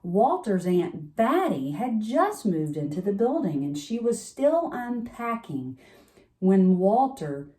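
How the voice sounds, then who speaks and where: A woman's voice: medium pitch, big pitch swings, slow, mellow. A woman storyteller reading a children's picture book aloud at bedtime, expressively, with chatty asides.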